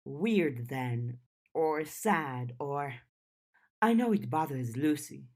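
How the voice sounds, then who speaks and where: A gentle, thoughtful teenage girl from an audiobook, read by a woman. She is soft-spoken and takes her lines slowly. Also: sits low, lively intonation, heavily acted. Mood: soft, hesitant. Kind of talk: audiobook character dialogue.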